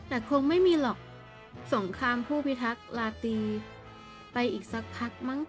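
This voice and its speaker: Woman, game streamer, slightly high voice, extremely fast, informal reactions, music behind. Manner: informal, exclamatory. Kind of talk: casual gameplay reaction commentary.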